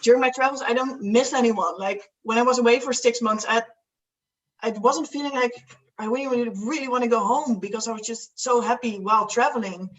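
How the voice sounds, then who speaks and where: A woman's voice: a little high, a little fast, distant mic, rough recording. A young woman from the Netherlands, a guest chatting casually, rambling with many fillers and restarts. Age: young adult. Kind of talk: casual chat.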